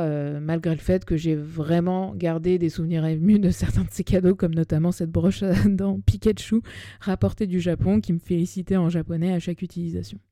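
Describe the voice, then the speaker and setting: Mellow young Parisian woman podcasting. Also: lowish voice, no room sound, clean studio sound. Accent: Parisian French. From Paris, France. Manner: chatty, self-deprecating, colloquial. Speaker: podcast host. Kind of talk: personal monologue podcast, semi-scripted narration. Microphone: close podcast microphone.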